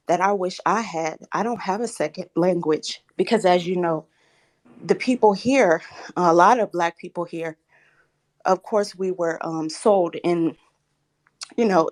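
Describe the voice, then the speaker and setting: A woman's voice: lowish voice, a little slow. A woman in her thirties from the Southern United States, calling into a live talk room and speaking earnestly with a slightly shaky voice. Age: 30s. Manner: soft-spoken, earnest, grows firm and insistent when challenged. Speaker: caller. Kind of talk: live audio chat room.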